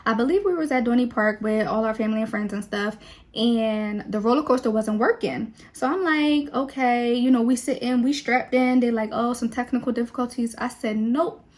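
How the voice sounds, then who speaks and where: A young woman talks to her viewers in a loose, relaxed way. Her voice sits a little on the high side. She fills gaps with easy fillers as she chats while doing her makeup. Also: normal speed. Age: 20s. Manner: chatty and relaxed, with many fillers. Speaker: beauty vlogger.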